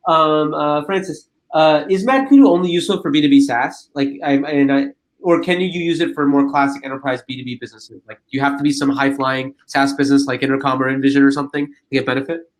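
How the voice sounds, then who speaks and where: A man's voice: medium pitch, fast. A man hosting a webinar in a conversational, informal way, restating his points for emphasis. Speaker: webinar host and moderator.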